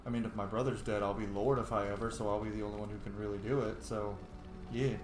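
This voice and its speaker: A man's voice: fairly low pitch, flat delivery, quick pace. A man hosting a role-play gameplay video, moving between casual commentary and lines spoken as his character. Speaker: gaming video host playing and voicing his character. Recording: echoey room with faint background noise.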